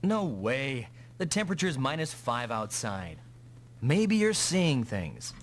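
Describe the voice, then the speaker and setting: An actor playing a calm, determined male mech pilot in an anime-style English video game dub. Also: mid-range voice, slow, a little hiss or hum. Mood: calm, matter-of-fact.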